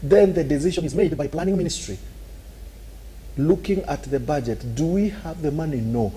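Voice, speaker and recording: Animated Kenyan man, dark voice. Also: on the high side, normal speed, slight background noise. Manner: animated and argumentative, acts out scenes with sound effects. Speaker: political commentator. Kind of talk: talk show discussion.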